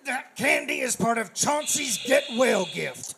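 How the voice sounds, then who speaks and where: A middle-aged man playing a gruff, sarcastic, irritable police boss in a comedic audio drama. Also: extremely high pitch, lively intonation, a little slow, crowd noise, full character voice. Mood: irritated. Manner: sarcastic, barking. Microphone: studio mic.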